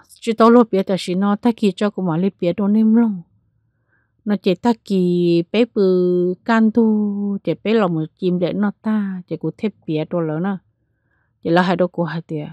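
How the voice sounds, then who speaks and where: Female storyteller, mid pitch, animated delivery, dry close recording. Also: no room sound. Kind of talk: spoken ghost story narration.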